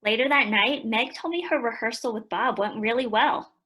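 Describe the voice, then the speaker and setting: A woman's voice: fairly high pitch, normal speed, sharp tone. An actress in a radio play narrating as a young sister telling her family's story. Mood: intrigued. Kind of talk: dramatic scripted narration.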